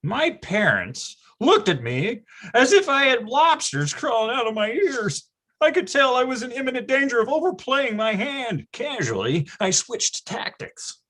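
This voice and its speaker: A performer plays a nostalgic storyteller narrating a staged comedy. The delivery is grandiose and wry, with big melodramatic swings in pitch. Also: very animated, a little slow, a bit bright. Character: melodramatic, nostalgic storyteller recalling boyhood schemes. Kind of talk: scripted comic narration.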